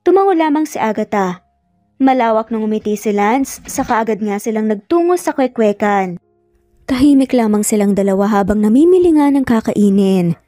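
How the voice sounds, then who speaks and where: A woman's voice: fairly high pitch, lively intonation, normal speed, dark tone, no room sound, clean studio sound, faint music behind. A woman storyteller narrating a scripted romance story.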